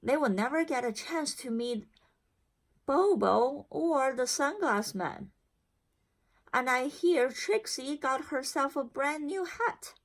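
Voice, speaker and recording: Storybook grandmother, a woman acting: elderly, firm yet cheerful, folksy, very animated pitch. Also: slow pace, heavily acted. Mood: firm sympathy. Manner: folksy, emphatic, gently scolding. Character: wise, spirited old grandmother, firm and cheerful.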